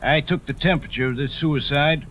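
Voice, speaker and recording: An actor playing a mild, shrewd country doctor, measured and politely doubting, in an old-time radio drama. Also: male voice, fairly high pitch, fairly even intonation, lightly acted. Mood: quietly suspicious. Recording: muffled vintage radio recording.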